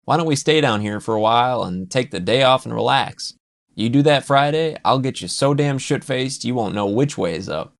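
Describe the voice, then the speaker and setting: A man voicing a rowdy, profane male work buddy who talks loud and pushy. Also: on the low side, lively intonation, moderate pace.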